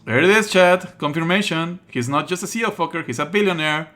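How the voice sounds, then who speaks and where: A man live-streaming casual commentary on a game playthrough, sardonic, exasperated and quick to swear. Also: fairly high pitch, fairly bright tone.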